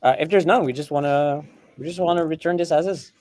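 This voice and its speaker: A man's voice: fairly high pitch, fast talker, a little mellow. A man whose first language is not English, co-hosting a live coding stream and explaining chattily with frequent restarts and fillers. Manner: chatty, many restarts and fillers, laughs often. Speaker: co-host.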